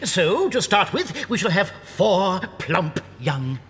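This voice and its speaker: A man's voice: high-pitched, big pitch swings, slow pace, in a large hall, very noisy, heavily acted. A British actor playing a sly, bossy father fox in a dramatized audiobook, with a gleeful, boastful, theatrical manner.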